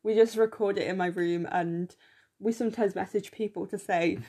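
A young woman co-hosting a podcast in casual chat, enthusiastic and full of fillers, her voice slightly croaky, recorded on a phone in her room. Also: mid-range voice, fairly even intonation, normal speed, mellow. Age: young adult. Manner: chatty, enthusiastic, many fillers. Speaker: podcast co-host.

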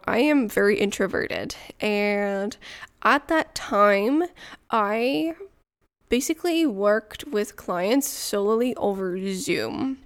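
Smooth-voiced woman hosting. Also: mid-range voice. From Montreal, Canada. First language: French. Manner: chatty and personal, light laughs. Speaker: podcast host. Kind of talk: solo podcast monologue.

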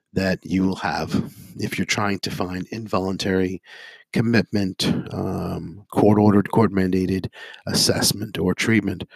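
Hoarse, gravelly low-voiced man. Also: dry recording. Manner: halting, heavy with ums and uhs, piles up listed phrases. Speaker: addiction interventionist and psychotherapist. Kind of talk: informational monologue to viewers.

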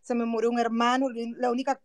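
A woman with a Venezuelan accent talks over a remote audio call in an earnest, heartfelt way. Her voice sits a little high, and the sound is dry and close. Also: little pitch movement, quick pace, no echo. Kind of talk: personal remarks in an online audio chat room.

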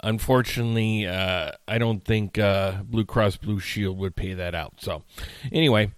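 A man's voice: on the low side, steady tone, normal speed, clean tone, no echo. Tired, slightly nasal male podcast host. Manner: chatty, rambling, with frequent fillers and restarts. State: tired, recovering from illness. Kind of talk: casual solo podcast rant. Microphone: studio mic.

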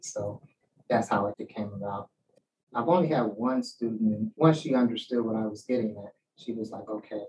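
A man's voice: fairly low pitch, normal speed. A man speaking casually and reflectively as a poet and teacher answering questions, acting out bits of remembered speech as he tells stories. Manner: animated storyteller, acts out quoted remarks. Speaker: poet and writing teacher giving a talk. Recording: distant mic with faint background noise.

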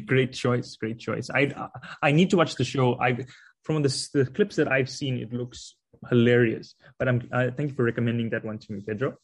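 A man hosting a relaxed interview, asking questions and sharing thoughts with loose restarts. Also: mid-range voice, moderate pace, slightly rough. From South Africa. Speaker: podcast host. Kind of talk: conversational interview.

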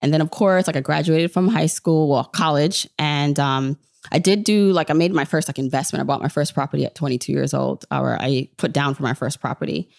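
A woman's voice: low-pitched, fairly even intonation, fairly quick, creaky, darkish tone, no room sound, studio quality. A woman, talking casually and informally as an interview guest, telling stories in a chatty way. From born in Jamaica, grew up in New York. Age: 30s. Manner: chatty, informal storytelling with quoted self-talk. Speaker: podcast guest, personal finance podcaster.

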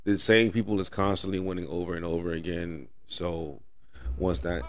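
A man's voice: sits low, fairly even intonation, moderate pace. A man hosting a casual podcast, teasing his guest and swearing freely. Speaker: podcast host.

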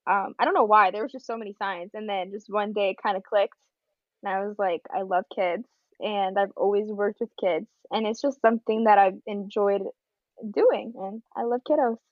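A nineteen-year-old woman, a college student, chatting relaxed as a podcast guest. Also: mid-range voice, moderate pace, no room sound. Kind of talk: casual podcast chat. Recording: slightly dull, dry sound.

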